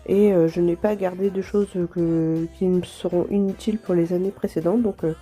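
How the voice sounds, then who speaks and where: Woman with a cold, stuffy nose, really dark mellow tone, chatty and gentle, faint music under it. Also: on the low side, fairly even intonation, normal speed, slightly rough. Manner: gentle, chatty, apologetic asides with light laughs. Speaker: home vlogger. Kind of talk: casual vlog narration.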